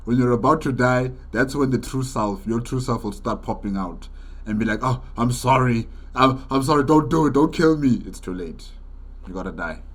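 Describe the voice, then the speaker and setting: A man's voice: mid-range voice, moderate pace. A man hosting a reaction video, commenting casually and informally.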